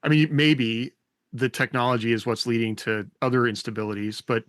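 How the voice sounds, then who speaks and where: A man with a middling pitch talks casually on a podcast. He hedges and works through his thoughts as he goes. The sound is dry, with no room echo. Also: steady tone, brisk, fairly bright tone. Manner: tentative, hedging, thinking aloud. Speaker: podcast co-host. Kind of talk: casual discussion.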